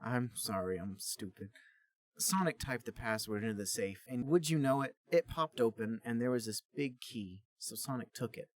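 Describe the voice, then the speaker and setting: A man co-hosting a podcast, reading comic fan fiction and chatting casually in a deadpan, mock-serious way. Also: medium pitch, moderate pace. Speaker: podcast co-host and reader.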